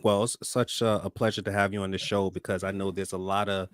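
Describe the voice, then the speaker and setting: A man hosting a talk show, upbeat and warm with his guests, often repeating words as he starts sentences. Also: low voice, little pitch movement, a little fast, dry recording, studio quality. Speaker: host.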